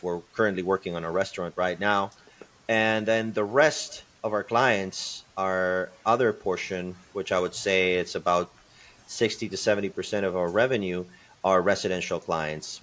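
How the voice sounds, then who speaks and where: Deep-voiced man, thoughtful guest. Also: fairly bright tone. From Peru. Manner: thoughtful, many fillers and restarts. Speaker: podcast guest, construction company partner. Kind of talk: conversational interview answers.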